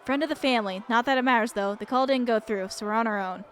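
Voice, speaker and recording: Woman reading as a snappish young woman, high voice. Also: fast talker, a little hiss or hum, natural voice. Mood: tense, irritated. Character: guarded, snappish, frightened young woman from an old family.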